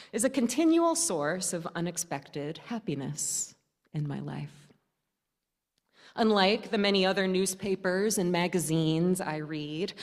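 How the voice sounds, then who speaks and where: A woman preaching at a church service, telling stories and reading aloud in deliberate phrases with pauses and wry humor. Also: on the low side. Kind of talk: sermon storytelling, reading aloud from notes and a newspaper story. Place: indoor church service, speaking to a congregation. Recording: reverberant church hall.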